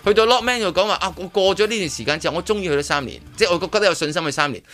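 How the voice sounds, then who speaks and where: Man, Hong Kong Cantonese accent, radio host, slightly high voice, lively swooping intonation. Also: racing, soft background music. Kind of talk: casual radio chat.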